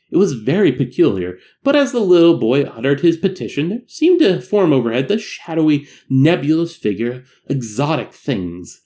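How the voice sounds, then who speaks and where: A man reading a classic tale aloud as a narrator, in a dramatic, measured and solemn storytelling manner. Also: fairly high pitch, darkish tone. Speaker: amateur audiobook narrator.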